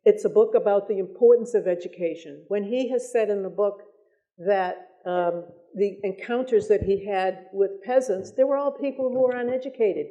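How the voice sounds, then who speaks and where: An American woman moderating a public discussion, speaking in articulate, deliberate phrases with emphasis on her points. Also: mid-range voice, dark tone, lo-fi sound.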